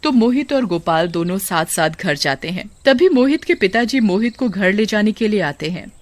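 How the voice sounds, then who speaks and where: A woman's voice: medium pitch, lively intonation, normal speed. A woman reading a scripted children's story as narrator.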